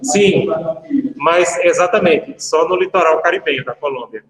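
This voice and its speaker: A male law professor talks casually to his class, drifting into jokey stories. His voice sits a little high. The sound rings with a long, roomy reverb. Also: moderate pace, fairly bright tone. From Brazil. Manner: chatty, anecdotal, jokey asides to students. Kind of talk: casual online lecture. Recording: echoey room with long reverb.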